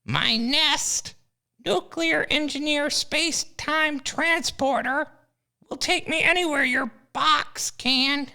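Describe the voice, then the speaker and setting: A scheming eagle professor, voiced by a woman, who taunts his foes with a high, gravelly sneer. The voice is bright and sharp. It fits an amateur comedy audio drama. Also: full character voice. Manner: gloating, taunting. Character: scheming male eagle professor villain, arrogant.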